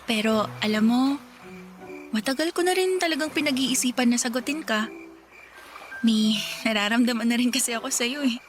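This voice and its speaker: A woman's voice: a little high, normal speed, pauses often, lightly acted. An actress playing a rebellious, defensive teenage schoolgirl in a Filipino radio drama, with a Filipino accent. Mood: coy. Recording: music behind the voice.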